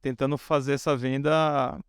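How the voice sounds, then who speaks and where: Man from northeast brazil, forties, founder telling anecdotes, smooth clear voice, dry close sound. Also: mid-range voice, normal speed, dry recording. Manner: relaxed anecdotal, many fillers like "né". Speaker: founder and guest speaker. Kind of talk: storytelling on a stage talk.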